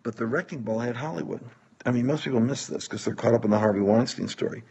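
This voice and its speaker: A man talking as a religious commentator, earnest, breaking phrases with deliberate, emphatic pauses. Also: medium pitch, creaky, darkish tone, husky. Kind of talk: religious political talk.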